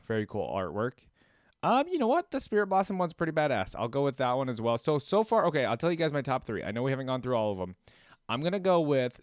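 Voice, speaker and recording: Male reaction host, mid-pitched, dry studio-close sound, informal and chatty, thinks aloud straight to viewers. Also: darkish tone, clean tone, no echo.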